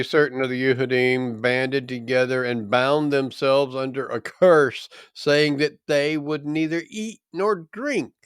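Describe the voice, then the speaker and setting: A man reading scripture aloud in a solemn, measured and declamatory way. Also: medium pitch, dry recording, studio quality. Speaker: scripture reader.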